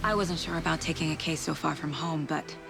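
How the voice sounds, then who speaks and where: A composed female detective in a game cutscene. Her voice is low and gravelly, and she speaks in a measured, matter-of-fact way. Music plays clearly behind her. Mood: businesslike. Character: composed, sharp female FBI agent and detective. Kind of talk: video game cutscene acting.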